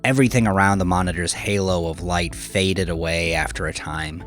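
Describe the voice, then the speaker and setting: A man's voice: deep voice, steady tone, normal speed, fairly bright tone. A man reading scripted crime fiction as the narrator. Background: music under the voice.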